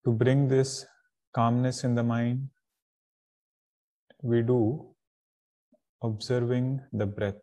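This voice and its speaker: A man leading a guided meditation as a spiritual teacher, giving calm, deliberate instructions in short phrases with pauses. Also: mid-range voice.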